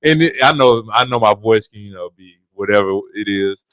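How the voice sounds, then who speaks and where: Earnest deep-voiced male podcast co-host. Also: brisk, fairly bright tone, no room sound, rough recording. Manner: earnest, repeats himself, stumbles on words. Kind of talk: casual podcast chat.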